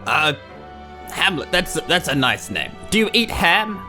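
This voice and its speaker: A man voicing a pompous, bumbling champion hero in a tabletop game, speaking grandly and haltingly. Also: fairly high pitch, sing-song, bright, forceful tone, exaggerated voice. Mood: genial. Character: pompous, bumbling old-fashioned hero, champion of village games. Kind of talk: ceremonial speech in a tabletop roleplay. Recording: music behind the voice.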